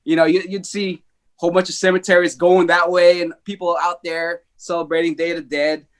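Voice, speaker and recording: A man who grew up in the Philippines, a podcast guest telling childhood stories in an animated, rambling way with frequent restarts. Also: fairly high pitch, fairly quick. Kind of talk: casual storytelling.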